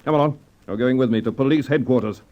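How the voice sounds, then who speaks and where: An actor playing a calm, shrewd police inspector in an old radio crime drama, speaking steadily. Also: male voice, mid-range voice, quick pace, loud music behind the voice, natural voice. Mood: firm. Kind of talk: 1950s radio drama acting. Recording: dull old radio recording.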